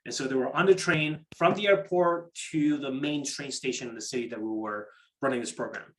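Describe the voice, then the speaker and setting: A man's voice: mid-range voice, a little fast, a bit bright, low-quality recording. A man presenting a workshop in a conversational, explanatory way, often repeating words as he restarts and checking in with short tag questions. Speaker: program manager presenting a workshop. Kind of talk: interactive online workshop talk on pitching.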